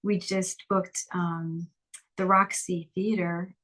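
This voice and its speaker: A woman's voice: fairly low pitch, flat delivery, slow, fairly bright tone. A woman musician chatting casually as an interview guest, upbeat and talkative with frequent restarts. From Lehigh Valley, Pennsylvania.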